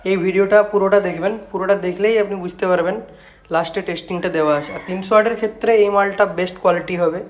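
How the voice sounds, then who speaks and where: A man's voice: high-pitched, steady tone, quick pace. A man with a West Bengal Bengali accent presenting products in a casual vlog, repeating himself like a salesman and mixing in English words. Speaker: speaker repair shop owner and product presenter.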